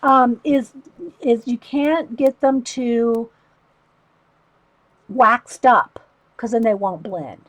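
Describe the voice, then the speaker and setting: A woman with a loud voice, chatting casually to livestream viewers while she shows a coloring technique. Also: on the high side, extremely slow, long pauses, no room sound, poor audio. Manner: rambling, talks to chat viewers by name.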